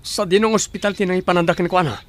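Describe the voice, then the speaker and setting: A man's voice: sits high, steady tone, very fast, natural voice. An actor playing a husband home from abroad, earnest and concerned for his wife.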